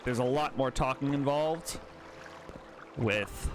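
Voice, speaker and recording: A man's voice: mid-range voice, takes it slowly, background noise. A man hosting a let's play, chatting casually and self-deprecatingly as he plays. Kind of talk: casual gameplay commentary.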